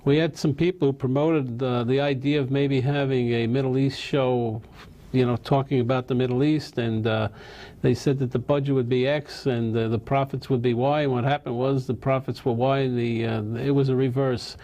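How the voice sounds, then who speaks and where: A man's voice: mid-range voice, fairly even intonation, normal speed, dark tone. A man hosting a talk show, in a plain mid-range voice. He chats loosely with his guest, teasing him and rambling along with fillers. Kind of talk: casual interview chat.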